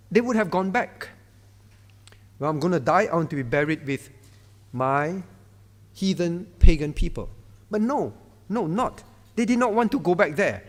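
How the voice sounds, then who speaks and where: A male pastor, preaching a sermon in a conversational way and often turning to the congregation. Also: sits high. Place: church hall. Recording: reverberant church hall.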